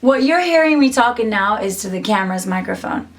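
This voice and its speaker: A woman's voice: medium pitch, animated, brisk. A young woman giving a casual, upbeat tutorial to camera from a small home music studio, chatty and full of "like". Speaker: musician and YouTuber.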